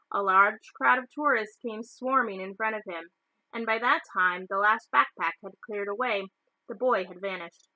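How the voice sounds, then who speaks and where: A woman's voice: medium pitch, pushed and bright, no room sound, poor audio. A woman reading a children's novel aloud as a storyteller. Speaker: storybook reader.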